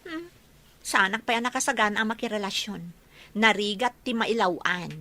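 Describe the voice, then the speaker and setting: A woman's voice: medium pitch, moderate pace, pushed and bright, natural voice. A woman playing a stubborn young working woman who spurns a suitor, acted in an Ilocano radio comedy drama with a Filipino accent. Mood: reluctant.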